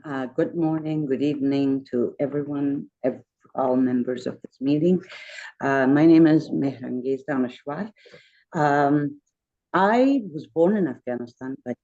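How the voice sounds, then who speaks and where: A woman with a dark, mellow and gravelly voice introduces herself over a video call. The line sounds muffled and dull. She talks in a friendly way, drifts from point to point and keeps correcting herself. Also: very deep voice. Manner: friendly, rambling, self-correcting. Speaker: architect and educator. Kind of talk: self-introduction in an online meeting.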